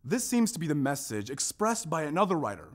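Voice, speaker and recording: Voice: medium pitch, very animated, a little fast. A performer narrating a scripted readers theater piece, presenting quotations and linking ideas. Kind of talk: scripted narration, staged reading of a research presentation.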